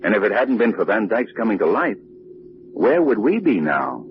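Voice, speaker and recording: An actor playing a calm, knowing gentleman sleuth, explaining things in a measured way in an old radio drama. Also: lowish voice. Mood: explanatory. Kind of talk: 1940s radio drama acting. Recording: muffled, noisy old-time radio recording.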